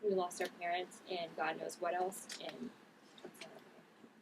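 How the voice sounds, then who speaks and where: Gentle, guarded young woman in grief actress at a table read, lower register, noisy room. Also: level tone, normal speed. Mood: sorrowful. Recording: distant mic, clear background noise.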